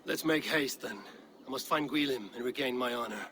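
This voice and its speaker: A man's voice: mid-range voice, normal speed, noisy background, natural voice. A man playing a proud, blunt Norse warrior in a video game, speaking in terse, formal phrases. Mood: earnest. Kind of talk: dramatic video game acting.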